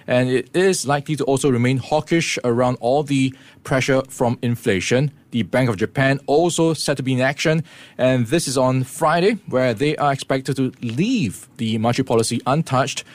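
A man presenting a morning business news briefing, explaining the week's events in a steady way with occasional fillers. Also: medium pitch, moderate pace, dry recording. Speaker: radio presenter.

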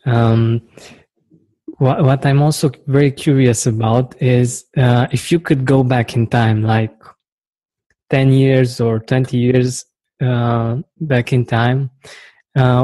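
Male interviewer, unhurried, mellow tone, dry close mic, hesitant curious questions. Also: mid-range voice, dry recording, poor audio. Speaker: podcast host.

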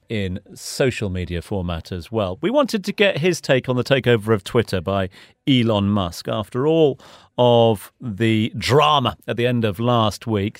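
A man's voice: lowish voice, no echo, studio quality. A man hosting a business news podcast, introducing items in a conversational radio style. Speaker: podcast host.

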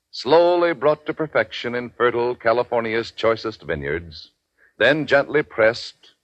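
Animated male announcer, dry vintage radio. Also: medium pitch, no room sound. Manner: polished, deliberate emphasis. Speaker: commercial announcer. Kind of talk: scripted 1940s radio advertisement. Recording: dull, dry 1940s radio recording.

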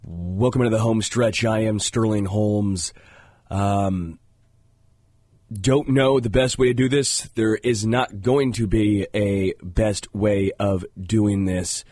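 A man's voice: on the low side, a little mellow, no room sound, studio quality. A male sports radio host, congested, talking earnestly with a lot of fillers. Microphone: studio microphone.

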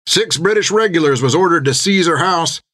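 Red-faced drinker in a seafaring audiobook, played by male narrator: gruff, rustic, raised pitch. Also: lively intonation, a little fast, bright, forceful tone. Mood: gossipy, relishing.

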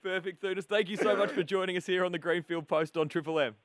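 Radio host: man, slightly higher pitch, relaxed laughing interviewer, dry booth. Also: quick pace, dry recording. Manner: chuckling, easygoing. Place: radio studio.

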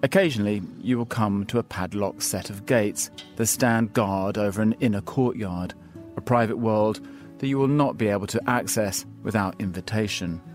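A man reading his own scripted essay aloud in a measured, wry storytelling manner. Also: on the low side, a little mellow, soft background music. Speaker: columnist reading his piece. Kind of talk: scripted narration.

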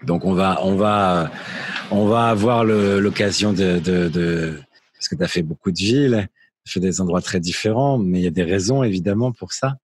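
A man's voice: deep voice, moderate pace, no echo. A man hosting a casual interview in an informal, hesitant way, often repeating his phrases as he goes. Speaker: interviewer, host. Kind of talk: casual conversational interview in French.

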